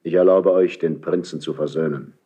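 Stern, deep-voiced old king. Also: fairly even intonation, dark tone, breathy edge. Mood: grave, suspicious. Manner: commanding, weighty, measured. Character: stern, cold aging king of Spain, a father. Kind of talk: classical German radio play stage acting. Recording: dull 1970s radio drama recording.

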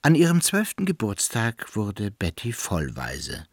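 An actor narrating a radio play as a formal, mock-solemn storyteller with literary phrasing. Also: male voice, fairly low pitch, wildly swooping pitch, unhurried, husky, no echo, studio quality, exaggerated voice. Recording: music behind the voice.

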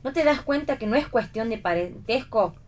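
Breezy, fairly high-voiced woman friend played by an actress. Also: animated, normal speed, close to an everyday voice. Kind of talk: read radio theatre.

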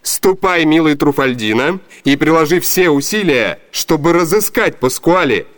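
An imperious gentleman master, dry sound. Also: fairly high pitch, animated, normal speed, no room sound. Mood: urging. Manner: imperious, declamatory, crisp orders. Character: impatient, lovestruck gentleman master, commanding and earnest. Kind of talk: theatrical 1980s Soviet radio drama acting.

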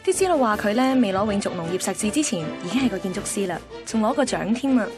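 A woman's voice: medium pitch, expressive, fast talker, over music, lightly acted. A woman with a Hong Kong Cantonese accent playing a picky, chatty host in her twenties, narrating a radio drama conversationally. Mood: breezy. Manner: cheeky, self-assured, conversational.

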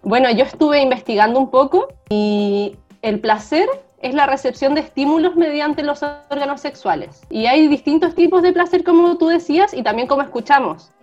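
A woman's voice: high voice, moderate pace, a little mellow. A woman with a Chilean accent co-hosting a podcast, explaining things in a casual, chatty way. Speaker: podcast co-host. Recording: dull lo-fi audio, music underneath.

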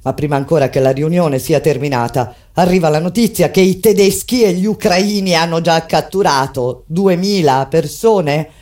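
An actress playing a grave resistance fighter, delivering a solemn, declamatory theatrical monologue as a recollection of an uprising. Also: female voice, low-pitched, moderate pace, few pauses, darkish tone, lightly acted. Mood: grave, urgent. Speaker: stage actress narrating. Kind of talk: dramatic theatrical monologue with live music. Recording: music under the voice. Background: music.

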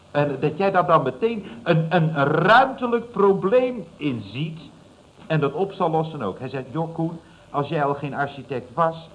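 A man's voice: on the high side, lo-fi sound, natural voice. An actor playing a self-satisfied, earnest male architect in a radio comedy, talking pompously and deferring to his boss.

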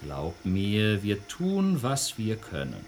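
An actor playing an evasive, patronizing official in a radio play, with a brusque, dismissive manner. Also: male voice, fairly low pitch, wildly swooping pitch, slow pace, darkish tone, a little hiss or hum, exaggerated voice. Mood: urgent pleading. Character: evasive male forestry official, dismissive, patronizing, quietly threatening. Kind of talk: German radio play acting.

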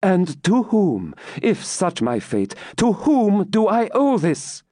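A man voicing a proud, high-pitched young captive woman. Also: huge pitch swings, slow pace, dark tone, no echo, clean studio sound, full character voice. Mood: indignant. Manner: formal, archaic, earnest speech. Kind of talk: dramatised audiobook reading.

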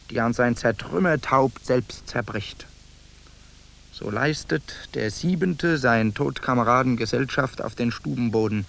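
A man's voice: mid-range voice, gravelly. An actor in a German radio drama playing a hardened soldier who narrates his own tale in archaic, clipped diction.

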